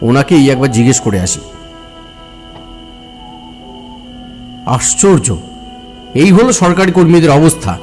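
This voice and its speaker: Man playing a greedy, excitable cartoon official. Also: fairly high pitch. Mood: irritated. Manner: expressive, exclaiming, talks to himself. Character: greedy, self-serving male government officer, easily flustered. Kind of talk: animated cartoon voice acting. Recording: music bed behind the voice.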